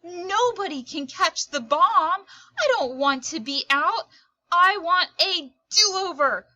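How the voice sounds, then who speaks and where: Woman acting out a shrill, wailing little girl in a tantrum. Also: expressive, takes it slowly, pushed and bright, dry recording, lo-fi sound, full character voice. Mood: furious, wailing. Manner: wailing, whiny, indignant outbursts. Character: hot-tempered young girl, competitive kickball star throwing a tantrum.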